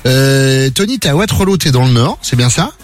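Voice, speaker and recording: A man hosting a morning radio show, teasing callers in quick joking banter from the studio. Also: medium pitch, animated, music in the background.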